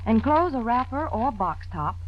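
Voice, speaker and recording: A woman's voice: on the high side, sing-song, moderate pace. An American woman reading advertising copy in 1940s radio announcing style. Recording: dull, faintly noisy old radio recording.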